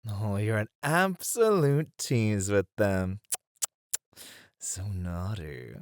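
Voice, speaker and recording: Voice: low voice, animated, no room sound, heavily acted. An actor voicing a suave, dominant demon who flirts and teases in an ASMR roleplay. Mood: playfully chiding. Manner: slow, purring, teasing delivery.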